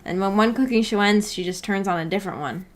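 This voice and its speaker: A woman with a mid-pitched voice hosts a livestream in a casual, unhurried manner. She thinks out loud and rambles along, moving between her own remarks and comments she reads from viewers. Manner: laid-back, rambling, thinking aloud. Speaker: livestream host.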